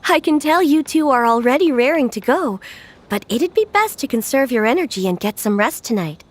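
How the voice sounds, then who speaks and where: High-voiced, easygoing friend. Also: female voice, lively intonation, moderate pace, slight background noise. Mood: congratulatory. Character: friendly, easygoing female friend, teasing and supportive. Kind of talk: video game cutscene dialogue.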